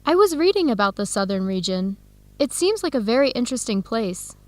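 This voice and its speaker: Young female researcher for educational radio drama: warm, upbeat, fairly high voice, clear simple lines. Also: big pitch swings, a little fast, no echo. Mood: cheerful. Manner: clear, simple phrasing for English learners.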